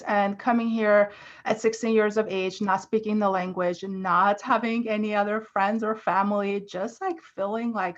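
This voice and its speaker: Guest: woman from Armenia, thirties, casual storyteller, filler-heavy, muffled remote recording. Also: medium pitch. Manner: chatty, heavy on "like" and "you know". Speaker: podcast guest.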